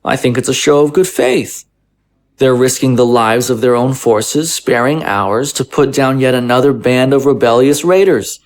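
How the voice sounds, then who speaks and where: A man's voice: medium pitch, moderate pace, lightly acted. A man playing a conciliatory government official in an audio drama, arguing in a formal, articulate, persuasive manner. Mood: reassuring, pointed. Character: smooth-talking, conciliatory male government official, argumentative.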